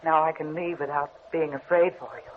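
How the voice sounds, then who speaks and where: A woman's voice: low-pitched, steady tone, a little fast, close to an everyday voice. An actress playing a tender, anxious young woman in love, with earnest, emotional old radio melodrama delivery. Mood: hopeful, relieved. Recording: tinny, hissy old radio recording.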